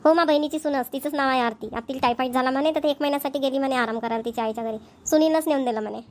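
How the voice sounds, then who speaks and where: Cartoon village sister, middle-aged, female performer, high voice, Vidarbha Marathi accent, talkative, concerned and full of questions. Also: rapid-fire, lightly acted. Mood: chatty. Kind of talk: amateur cartoon dialogue.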